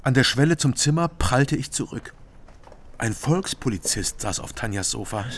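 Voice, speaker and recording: A man's voice: mid-range voice, lively intonation, moderate pace, close to an everyday voice. An actor playing a weary, bewildered East German man in a radio crime drama, speaking soberly and tersely. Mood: sober, reflective. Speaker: protagonist and narrator.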